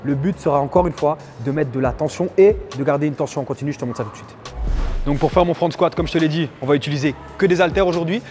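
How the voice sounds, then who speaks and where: Man, slightly high-pitched, teasing workout instructor, informal step-by-step explanations, background music. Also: fast talker, a little mellow. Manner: playful, teasing, motivational asides. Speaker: fitness coach presenting a workout. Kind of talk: casual exercise tutorial. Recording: music under the voice.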